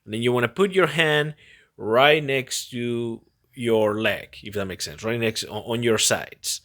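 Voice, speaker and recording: Halting man, bright voice. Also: medium pitch. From born in Peru, grew up in Spain. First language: Spanish. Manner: halting and rambling, frequent self-repetition. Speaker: podcast host. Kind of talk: casual solo podcast monologue.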